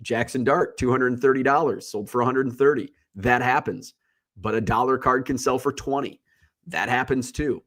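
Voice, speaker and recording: A man's voice: medium pitch, moderate pace, a bit bright. A congested man hosting a livestream, talking in a casual, rambling, blunt way and swearing freely. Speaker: livestream host. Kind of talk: casual solo chat.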